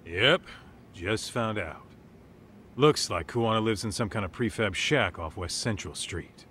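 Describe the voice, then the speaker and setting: An American man playing a gruff, blunt, streetwise detective's sidekick in a game cutscene, talking informally. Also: on the low side, expressive, unhurried, a bit bright, slight background noise. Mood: blunt, puzzled. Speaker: video game voice actor. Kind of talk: English dub game cutscene dialogue.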